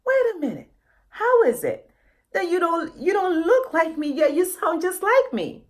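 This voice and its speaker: A small, confused storybook puppy, acted out by a woman. The voice sits very high and sounds timid and bewildered. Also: sing-song, a little slow, full character voice.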